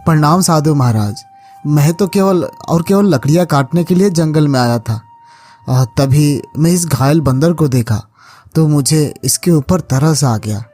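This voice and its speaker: A man's voice: a little high, measured pace, warm tone. Man as a kind cartoon village farmer, music behind. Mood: respectful, pleading. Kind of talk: Hindi cartoon moral story acting.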